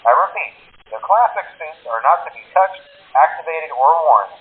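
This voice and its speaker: Awkward, lilting phone-message company trainer. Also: male voice, a little high, animated, moderate pace. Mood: awkward, official. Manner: hesitant openers, blandly corporate, matter-of-fact about danger. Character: awkward, upbeat male restaurant training-tape narrator. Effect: telephone filter. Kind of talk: scripted instructional phone message. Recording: tinny narrowband phone line.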